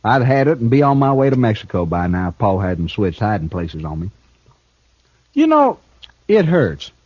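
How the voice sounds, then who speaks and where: A cool, sardonic escaped killer. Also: male voice, fairly low pitch, wildly swooping pitch, normal speed, darkish tone, slightly rough, dry recording, full character voice. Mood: smug, taunting. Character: cool, smart escaped killer and thief, grown man. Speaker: outlaw prisoner. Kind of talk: 1950s radio drama acting. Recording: dull old radio broadcast recording.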